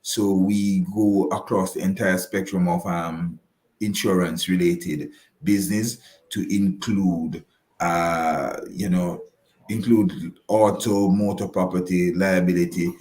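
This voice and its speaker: Male advisor guest, Jamaican accent, very low pitch, halting explanatory delivery with repeated phrases, noticeably reverberant room sound. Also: steady tone, darkish tone. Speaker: financial advisor, interview guest. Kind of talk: explanatory interview answers. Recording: roomy, echoing voice pickup.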